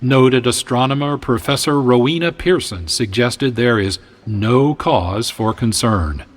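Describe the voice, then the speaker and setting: A man hosting a radio drama, introducing it in a measured, formal scripted style. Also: medium pitch. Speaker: host and announcer.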